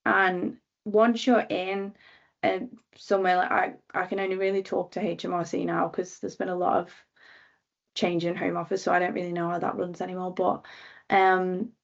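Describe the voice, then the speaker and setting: A woman's voice: fairly low pitch, level tone, moderate pace, gravelly, highs cut off. A woman in her twenties with a Liverpool accent, casually describing her career at a careers event with lots of fillers. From Liverpool, England. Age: 20s. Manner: chatty, many ums and likes. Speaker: careers talk speaker, government analyst.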